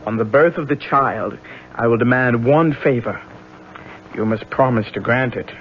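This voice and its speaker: An actor playing a mysterious, controlled healer in a radio drama, deliberate and commanding. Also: male voice, mid-range voice, a little hiss or hum, natural voice. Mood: ominous. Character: mysterious, controlled male healer dealing in talismans. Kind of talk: 1970s radio drama acting. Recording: dull muffled vintage radio broadcast.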